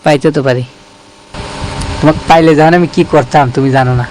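A man's voice: on the high side, fairly even intonation, quick pace, darkish tone. A man with a Bangladeshi accent in an intimate, casual phone chat, talking in a coaxing, romantic way. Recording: noisy phone call recording.

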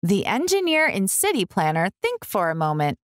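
A woman reading a picture book aloud for young children, expressively, with deliberate, clear enunciation. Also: mid-range voice, huge pitch swings, no echo, clean studio sound. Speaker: children's book reader, host.

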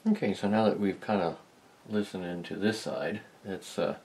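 A man giving a casual hands-on demonstration, talking in a halting, rambling, self-deprecating way. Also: deep voice, a little mellow, slight background noise. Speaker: host of a vintage electronics video. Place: indoor electronics workbench.

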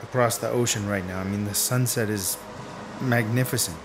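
A man's voice: fairly low pitch, steady tone, moderate pace, background noise, close to an everyday voice. A man playing an earnest, persuasive friend in a radio drama, in a casual, hesitant, coaxing way.

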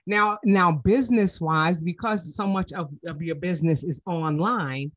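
Woman podcast host, very low voice, dry close mic. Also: a little slow, dry recording. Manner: frequent short affirmations. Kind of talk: interview hosting. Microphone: podcast mic on a stand. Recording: dry, slightly dull call audio.

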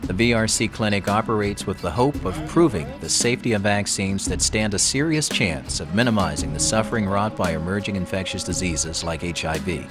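Low voiced guy doing calm formal voiceover, loud music underneath. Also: fairly quick, hardly any pauses. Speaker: narrator. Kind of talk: scripted documentary narration. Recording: voice-over with loud background music.

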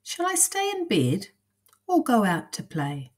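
A woman voicing a hapless, excitable man from a children's storybook, with singsong exclamatory delivery. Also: measured pace. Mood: musing, cheerful. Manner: exclamatory, singsong rhyming speech with high yelps.

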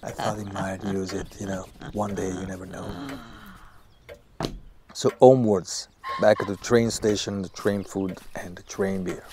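A man's voice: sits low, steady tone, a little slow, noisy background. A man playing a distracted, amorous writer in an audio drama. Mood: musing. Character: distracted, smooth-talking male writer, secretly amorous.

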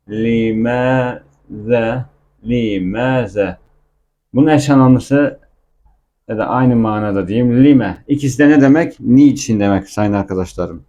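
Male language teacher, soft-edged mellow tone, informal explanatory delivery with patient repetition. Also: medium pitch, highs cut off.

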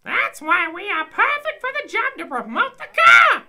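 A woman playing an excitable bird person who promotes cars, shrill and squawking, in a comedic audio drama. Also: normal speed, heavy background noise, heavily acted. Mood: pushy, chirpy.

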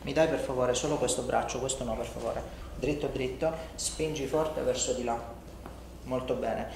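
A man's voice: mid-range voice, steady tone, moderate pace, vocal fry. Male chiropractor, middle-aged, informal and reassuring while instructing a patient; roomy sound with slight noise. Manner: informal, reassuring, often checks with "ok?" and "no?". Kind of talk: explaining and instructing a patient during a treatment session. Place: indoor treatment room in a home-like studio. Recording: echoey room, some background noise.

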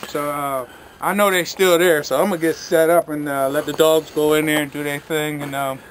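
A man's voice: a little high, level tone, brisk, a little hiss or hum. A middle-aged man, a hunter, chatting and joking casually outdoors into a camera mic. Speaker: rabbit hunter with beagles. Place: outdoors in a farm yard. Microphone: camera mic at a few feet. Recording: outdoor camera audio, voices around.

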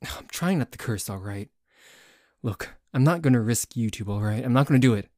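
A man playing a dorky, jealous tsundere boyfriend in an ASMR roleplay, bickering haltingly with a cocky streak. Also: mid-range voice, lively intonation, darkish tone, dry recording, studio quality, close to an everyday voice. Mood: grudging. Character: dorky, jealous, grumbling tsundere boyfriend who dislikes a cat.

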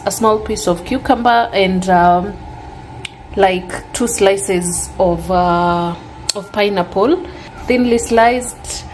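A woman's voice: mid-range voice, slow, a little hiss or hum, rough recording. A young woman chatting casually to her viewers in a lifestyle vlog, filmed at home with a handheld camera. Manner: relaxed, chatty, talks to viewers as "guys". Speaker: lifestyle vlogger. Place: home kitchen and dressing room, indoors.

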